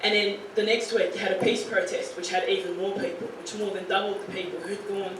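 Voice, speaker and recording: A woman's voice: mid-range voice, fairly even intonation, brisk. A young Australian woman in her late teens talks earnestly to a room of students, her speech loose and full of "you know" and "like." She is heard from a distance in a very echoey room. A faint hiss sits underneath. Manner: earnest, chatty, with many "you know" and "like" fillers. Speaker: guest speaker to high school students. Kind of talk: informal talk to a student audience.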